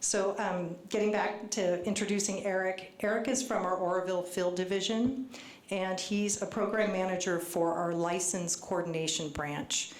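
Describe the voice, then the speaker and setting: Soft voiced lady at a community meeting mic, kinda nervous, reading notes, roomy hall sound. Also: fairly low pitch, fairly even intonation, moderate pace. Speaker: state agency official presenting. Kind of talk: public meeting presentation. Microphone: handheld microphone. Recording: echoey hall with faint noise.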